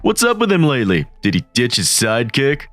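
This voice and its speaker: An actor playing a mocking, taunting teenage street thug in a manga voice-over. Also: male voice, medium pitch, huge pitch swings, fairly quick, no room sound, soft background music. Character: sneering teen male street thug.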